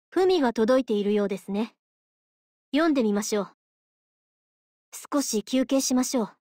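A stern yet caring lady judge of the afterlife in a game. Her voice is fairly high and she speaks slowly and formally, like someone delivering a lecture. The recording is close and dry. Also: no echo. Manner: formal, polite, measured, preachy. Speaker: voice actress. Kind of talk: Japanese mobile game character voice lines.

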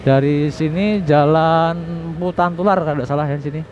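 A man's voice: a little high, normal speed, a little mellow. Man vlogging over traffic noise. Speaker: motovlogger. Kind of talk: casual riding commentary. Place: outdoors, riding a motorbike through city streets. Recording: dull lo-fi audio with clear traffic noise. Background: vehicle engines and street traffic noise.

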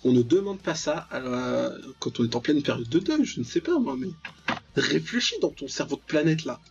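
A man's voice: fairly high pitch, sing-song, normal speed, vocal fry, lo-fi sound, faint music behind. A young man giving casual, slangy let's play commentary, with mock-dramatic, exclamatory reactions. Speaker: gaming video host.

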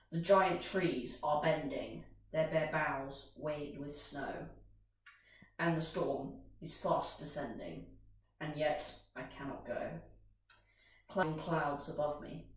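Young teenage boy, very echoey and distant. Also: fairly high pitch. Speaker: student reciting a poem. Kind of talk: poetry recitation. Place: bare indoor room. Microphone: far camera. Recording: very echoey room, distant mic.

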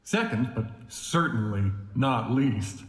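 A man playing a charismatic organization president with veiled menace, giving a deliberate, grandiose podium speech in an audio drama. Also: mid-range voice, animated. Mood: promotional. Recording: echoey hall with long reverb.

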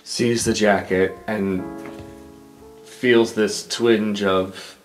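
A man's voice: lowish voice, fairly even intonation, drawn-out words, faint music behind, poor audio. A man playing a tabletop game, improvising a description of what his character does.

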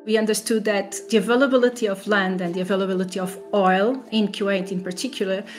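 A woman's voice: mid-range voice, fairly even intonation, fast, faint music behind. A woman researcher giving explanatory answers in an interview. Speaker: academic researcher being interviewed.

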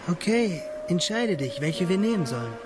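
A man's voice: high voice, very animated, normal speed, noisy background. An actor playing an earnest, questioning young male intern in a German radio drama, musing and hesitant.

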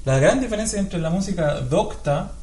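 A man's voice: sits high, animated, normal speed, a little mellow, slight background noise. Reflective man in a roomy studio. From Chile. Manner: reflective, explains with examples, tag questions like "¿te fijas?". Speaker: classical guitarist guest. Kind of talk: studio TV interview. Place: TV studio set. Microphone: lapel mic.

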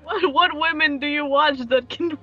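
A woman's voice: high voice, fairly even intonation, moderate pace, sharp tone, faint music behind. A woman chatting and joking casually as a co-player on a game stream. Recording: muffled lo-fi voice track.

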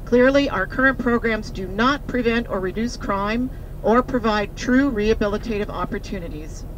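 A middle-aged woman reading a prepared speech into a handheld microphone as a community advocate aboard a moving tour bus, earnest and measured. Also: a little high, low-quality recording. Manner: earnest, measured, slowing on key phrases. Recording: bus with road noise behind. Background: vehicle engine and road noise.

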